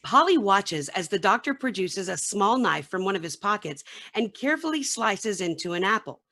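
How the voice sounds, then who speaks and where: Narrator: woman, scripted drama narration, medium pitch, lively inflection. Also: moderate pace. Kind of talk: scripted narration, reading stage directions.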